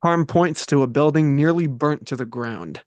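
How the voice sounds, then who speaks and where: A man reading the stage directions of a script at a table read, steady and matter-of-fact. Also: on the high side, normal speed, no echo.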